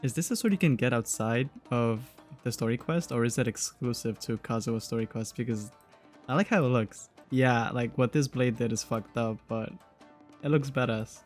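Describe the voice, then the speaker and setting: A man's voice: mid-range voice, normal speed. A young man giving casual, irreverent, sweary commentary on a game he is playing. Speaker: game streamer reacting to a story quest. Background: game music.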